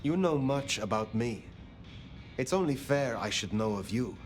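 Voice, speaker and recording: Casting: man playing measured, courtly elder vampire for a game, mid-pitched voice, music underneath. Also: lively intonation, lightly acted. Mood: formal. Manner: formal, measured. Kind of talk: video game dialogue acting. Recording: music behind the voice.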